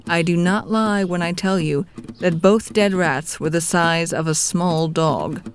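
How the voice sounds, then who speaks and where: A woman's voice: lowish voice, unhurried, a little hiss or hum, lightly acted. A woman playing a wry, theatrical storyteller, narrating a scripted audio drama in a confiding, grandiose manner. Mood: wry, dramatic.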